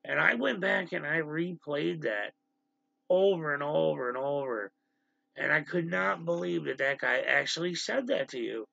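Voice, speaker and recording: Gravelly man hosting a livestream. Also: a little high, no room sound. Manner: animated, exasperated, quick asides to the stream chat. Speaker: livestream host. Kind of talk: casual livestream chat. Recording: slightly dull lo-fi stream audio.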